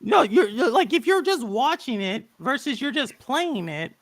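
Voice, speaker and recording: A man's voice: very high-pitched, very animated, moderate pace, a bit bright, no room sound. A man hosting a casual podcast chat, who argues his point while stumbling and restarting his phrases.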